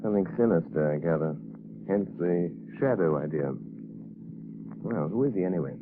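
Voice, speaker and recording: A suave, wry gentleman detective in a 1930s radio drama. His voice is very deep and urbane. The old broadcast is tinny with a loud hiss. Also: animated, normal speed. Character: suave wealthy amateur detective, dry wit.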